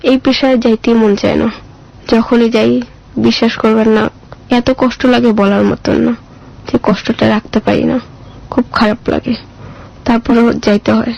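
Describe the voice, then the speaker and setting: A woman's voice: fairly high pitch, flat delivery, a little slow, creaky, pauses often, dull audio. A woman with a Bangladeshi accent telling her life story as an interview guest in plain, colloquial, plaintive speech.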